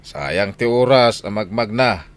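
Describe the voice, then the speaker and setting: A man's voice: fairly low pitch, animated, measured pace. An actor with a Filipino accent playing a stubborn, money-minded husband and father in a radio drama, grumbling and dismissive. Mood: businesslike. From Philippines. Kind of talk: Philippine radio drama acting.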